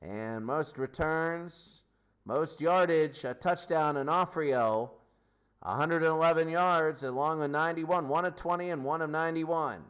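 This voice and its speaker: A man's voice: fairly high pitch, unhurried, fairly bright tone, clean tone. A man giving an unscripted sports stats recap as host, listing figures in short, clipped phrases. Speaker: sports show host and commentator.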